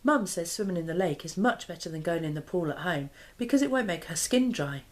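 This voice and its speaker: Lively woman reading a storybook. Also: fairly low pitch, a little fast, slight background noise. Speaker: mother and parenting vlogger. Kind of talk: expressive children's storybook reading. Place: small living room, indoors.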